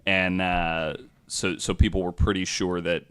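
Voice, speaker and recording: A man with a fairly low voice hosts a casual podcast. He talks through stories informally, in loose conversational language with casual swearing. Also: little pitch movement, a little slow, fairly bright tone, clear, resonant tone. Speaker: podcast host. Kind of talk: casual storytelling podcast talk.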